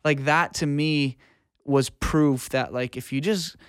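A man's voice: a little high, steady tone, takes it slowly, fairly bright tone, smooth, no echo, studio quality. A man speaking informally and earnestly as a guest in a casual interview, giving career advice. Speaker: guest, music producer and audio engineer.

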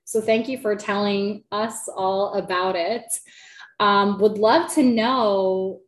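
A woman's voice: medium pitch, fairly even intonation, slow pace. A woman hosting an interview, speaking in an affirming, gushing manner. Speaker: podcast host. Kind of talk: casual conversational interview. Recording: roomy, echoey sound.

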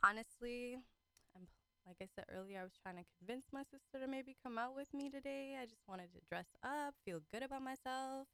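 A woman's voice: high voice, brisk, fairly bright tone, clear, resonant tone, no room sound. A giggly, chatty woman in her twenties from the Caribbean, talking casually as a radio show guest. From Caribbean, raised in Trinidad and the British Virgin Islands. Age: 20s. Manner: giggly, chatty, acts out her own remarks. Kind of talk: casual chat.

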